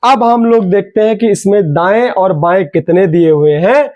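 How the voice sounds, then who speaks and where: Young guy coaching a reasoning class, fairly high voice, sore throat, keeps pushing students to answer fast. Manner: energetic, urging students, repeats key words. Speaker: reasoning teacher. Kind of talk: online exam coaching class.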